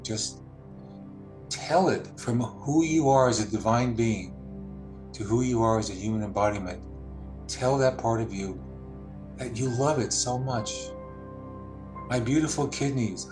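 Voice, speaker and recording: A man leading a guided healing meditation, speaking slowly and soothingly with long pauses. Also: fairly low pitch.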